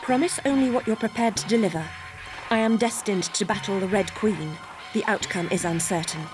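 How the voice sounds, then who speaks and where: A woman playing a sharp-tongued young heroine in a video game, sardonic, terse and formal. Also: mid-range voice, a little fast, over music, natural voice. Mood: resolute, grave. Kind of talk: video game character acting.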